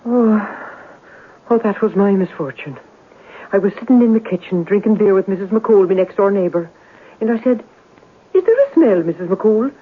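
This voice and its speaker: An actress playing a flustered, garrulous middle-aged working-class landlady in an old-time radio drama, rambling with exclamations and rough grammar. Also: mid-range voice, lively intonation, normal speed. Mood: dramatic, mournful. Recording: muffled vintage radio broadcast.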